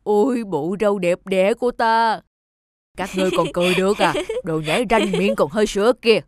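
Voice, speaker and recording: A woman voicing a grumpy, thieving male dwarf in an animated fairy tale, with a rude, impatient manner. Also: mid-range voice, brisk, dry recording, studio quality, natural voice. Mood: irritated, scolding. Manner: rude, impatient, snappish, cowardly when threatened. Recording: music under the voice.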